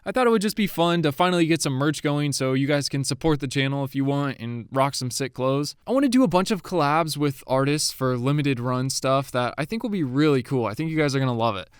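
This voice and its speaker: A man's voice: medium pitch, lively intonation, a little fast, hardly any pauses, clear, resonant tone, no room sound, studio quality. A young man, a bit sniffly, giving casual, eager commentary to his viewers as a YouTube creator. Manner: chatty and eager.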